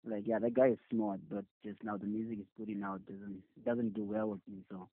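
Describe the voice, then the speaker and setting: A man's voice: fairly low pitch, level tone, a little fast, dry recording. A young man from Zimbabwe, a rapper and producer, answering casually in a laid-back, mumbling way and swearing now and then. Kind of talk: casual interview. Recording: tinny phone-like audio.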